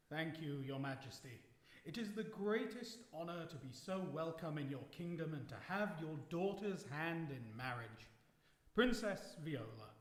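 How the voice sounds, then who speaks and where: Earnest, higher-voiced courtly prince. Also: male voice. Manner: formal, courteous. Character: earnest, courteous, devoted noble prince suitor. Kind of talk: radio drama acting, fairy tale. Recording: echoey hall, music behind.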